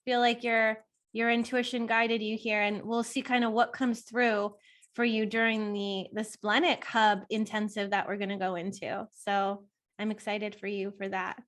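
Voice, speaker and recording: A woman's voice: medium pitch, little pitch movement, moderate pace, a bit bright. A woman co-hosting a live webinar in a casual, chatty, enthusiastic way with frequent giggles. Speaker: webinar co-host. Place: online video call.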